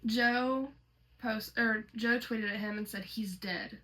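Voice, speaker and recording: A woman's voice: on the high side, steady tone, slow, pushed and bright, rough recording. A woman reading a horror story aloud as host, slipping between dramatic reading and casual commentary.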